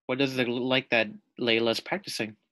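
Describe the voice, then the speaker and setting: A man reading a picture book aloud to young children as their teacher, deliberate and explanatory. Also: mid-range voice, little pitch movement, sharp tone, dry recording. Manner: deliberate, explanatory, asks the listeners questions.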